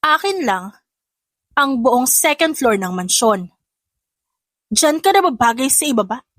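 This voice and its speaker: A woman's voice: high-pitched, very animated, measured pace, dry recording, clean studio sound, exaggerated voice. A woman voicing a young, spoiled, combative rich daughter who talks sharply and tauntingly in a dramatic audio story. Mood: taunting, hostile. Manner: sharp, taunting, mixing Tagalog with English.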